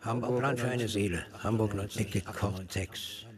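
An actor in a radio play as an obsessive list-maker, reciting in a clipped, repetitive way. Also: male voice, fairly low pitch, fairly even intonation, unhurried, slight background noise, lightly acted. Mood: obsessive, mechanical.

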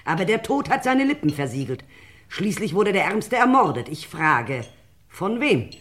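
An actress playing a melodramatic, probing housekeeper with a portentous delivery in an old crime radio play. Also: female voice, on the low side, sing-song, moderate pace, a bit bright. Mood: ominous, probing.

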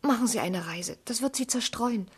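An actress playing a tender, troubled young woman in a radio drama, with a breathy, husky voice and earnest delivery. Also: fairly high pitch, expressive, moderate pace. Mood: earnest, distressed. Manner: earnest, pleading, sometimes dropping to a whisper. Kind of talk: German literary radio drama acting.

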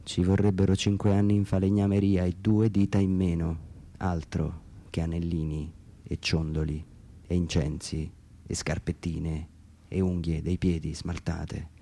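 Gravelly, low-voiced man reading literary monologue. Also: flat delivery, unhurried, warm tone. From Umbria, Italy. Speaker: author reading own text. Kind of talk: dramatic literary reading for radio theatre.

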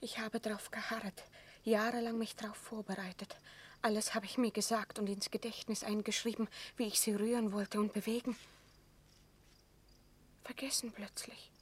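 A woman's voice: mid-range voice, little pitch movement, normal speed, slightly rough, natural voice. An actress playing a proud, wronged captive queen in a classical radio drama, pleading in solemn, declamatory verse. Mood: agitated, anxious. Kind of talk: classical German stage drama radio play.

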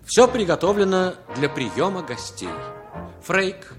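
A man's voice: sits high, very animated, slow, exaggerated voice. A man narrating a 1960s Soviet radio play in a theatrical, declaimed style. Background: music.

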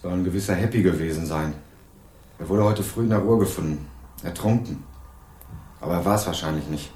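An actor playing a young student who narrates in a dry, wry tone and questions people persistently. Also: male voice, low voice, fairly quick, mellow, slight background noise, lightly acted. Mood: troubled. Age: young adult. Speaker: first-person narrator and student. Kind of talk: scripted crime radio play narration.

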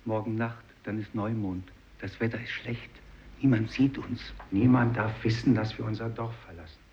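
Casting: man playing furtive village fisherman, low hushed murmur, terse lines, muffled and noisy old radio recording. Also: steady tone, normal speed, close to an everyday voice. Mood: secretive. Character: secretive village fisherman fleeing home with his neighbours. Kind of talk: choral radio drama lines.

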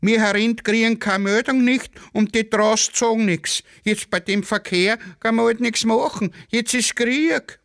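A man's voice: high voice, measured pace, vocal fry, a bit bright, dry recording, clean studio sound, voice pushed up, full character voice. A man voicing a muddled, evasive Viennese railway porter who grumbles long-winded excuses in dialect. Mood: fatalistic, dismissive.

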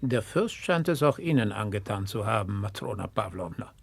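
Male estate steward, lowish husky voice, obsequious yet hard-nosed, formal 1960s radio drama delivery, dry sound. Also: lively intonation, moderate pace, dry recording, full character voice. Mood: inquisitive. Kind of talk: 1960s German radio play acting.

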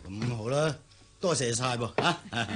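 A man's voice: medium pitch, normal speed, background noise, lightly acted. An actor with a Hong Kong Cantonese accent playing a middle-aged, mercenary, blustery father in a radio drama, coaxing and repeating his words. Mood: hospitable, coaxing.

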